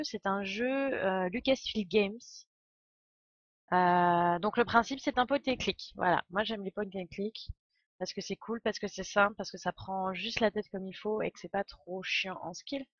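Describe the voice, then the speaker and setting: A woman's voice: medium pitch, unhurried, no room sound, dull audio. A woman in her twenties with a stuffy cold, chatting casually and a little hesitantly as she hosts a live game stream. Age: 20s. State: congested with a cold.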